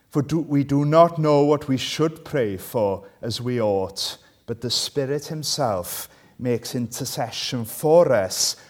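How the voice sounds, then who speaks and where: A Welsh man, a native Welsh speaker, preaching and reading scripture, checking in with listeners through questions. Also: mid-range voice. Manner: conversational, asks listeners rhetorical tag questions.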